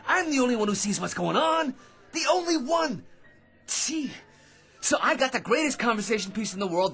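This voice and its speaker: An actor in an old-time radio drama playing a boastful, excitable barfly who chatters in wheedling slang. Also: male voice, very high voice, husky, full character voice. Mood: frustrated. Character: boastful, excitable, talkative male barfly with a magic gizmo.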